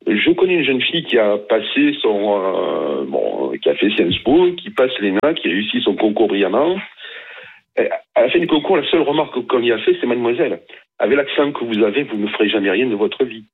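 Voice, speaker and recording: A man phones in to a radio talk show and argues his point with emphasis and quick asides. His voice is low and husky. It comes over a thin, tinny phone line. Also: big pitch swings. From France. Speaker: caller. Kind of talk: radio call-in opinion.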